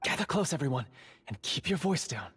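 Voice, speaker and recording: An actor playing a secretive male dungeon guard who is a covert ally, speaking in a hushed, urgent, earnest way. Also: mid-range voice, big pitch swings, fairly quick, slightly rough, exaggerated voice. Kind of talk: game voice acting.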